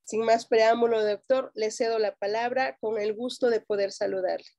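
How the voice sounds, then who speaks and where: A woman with a Mexican Spanish accent hosts an academic event in a formal, courteous register. Her voice is fairly high, and the sound is dry with little room around it. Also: fairly even intonation, moderate pace, warm tone, no room sound. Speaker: host introducing a guest speaker. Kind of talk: formal scripted introduction.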